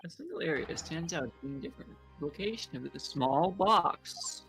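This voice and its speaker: Gravelly, mid-pitched, amateur dub of a courtroom game, lawyer lines, music behind. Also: expressive, moderate pace, a bit bright, poor audio. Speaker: player voicing a defense lawyer in a fan dub. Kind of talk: group game playthrough, acting out dialogue lines.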